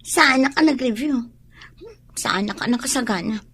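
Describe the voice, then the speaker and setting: Give me a husky young student. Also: female voice, a little high, moderate pace, fairly bright tone. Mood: surprised. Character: casual, forgetful young female student. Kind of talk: Ilocano radio drama acting.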